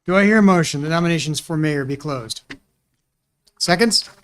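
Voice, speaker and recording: Man, slightly high-pitched, as a formal meeting chair delivering short procedural announcements. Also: moderate pace, a bit bright. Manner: procedural, short formulaic calls.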